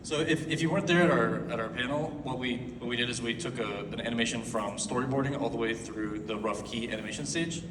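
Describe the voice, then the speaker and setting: A man, an animation studio founder, explaining on stage in a monotone, repeating words. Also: mid-range voice, quick pace, hardly any pauses, noisy background. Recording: echoey hall, distant mic.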